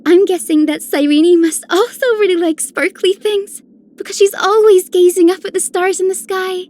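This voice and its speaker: A woman voicing a gentle, tender young healer in a video game, speaking softly, sweetly and earnestly. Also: very high-pitched, fairly even intonation, normal speed. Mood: sweet, cheerful. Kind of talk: video game character voice lines.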